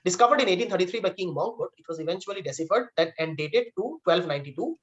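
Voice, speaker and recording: A man's voice: high-pitched, lively intonation, fast talker, a bit bright, dull audio. A man acting as quiz master, reading out trivia questions and giving verdicts on answers.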